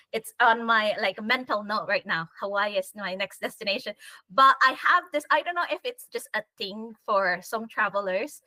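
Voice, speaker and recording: Bright-voiced young woman, chatty. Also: fairly high pitch, no echo, rough recording. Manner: chatty, laughs often, says "like" a lot. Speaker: panel guest. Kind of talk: casual chat.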